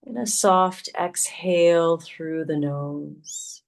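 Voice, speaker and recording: Lady yoga teacher doing guided relaxation, really slow n soothing, lowish voice, long pauses. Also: gravelly. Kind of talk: guided meditation, yoga nidra.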